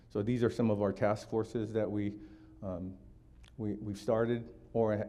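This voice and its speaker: A man's voice: lowish voice, mellow, slight background noise. A man in his sixties from Hawaii, giving a community talk for a district attorney's office, explaining steadily with frequent fillers.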